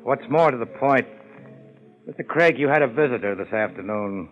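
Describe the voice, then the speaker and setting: An actor playing a coolly menacing crime boss with formal, condescending politeness in a 1950s radio drama. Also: male voice, mid-range voice, lively intonation, normal speed, phone-quality sound, soft background music, close to an everyday voice. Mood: suavely probing. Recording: thin, band-limited 1950s radio recording.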